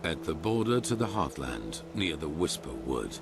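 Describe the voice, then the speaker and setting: An actor playing a solemn, imperious older leader of a religious order in a fantasy game, speaking in a measured, formal way. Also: male voice, a bass voice, sing-song, background noise, full character voice. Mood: solemn, persuasive. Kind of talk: scripted fantasy video game dialogue. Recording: loud music behind.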